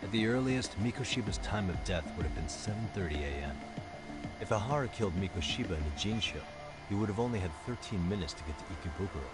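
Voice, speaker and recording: A composed, sharp detective played by a man in a video game, with a fairly low voice. He lays things out in a measured, deliberate way over loud music. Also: animated, natural voice. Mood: composed, deductive. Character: composed, sharp male detective laying out a case. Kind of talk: English game dub dialogue.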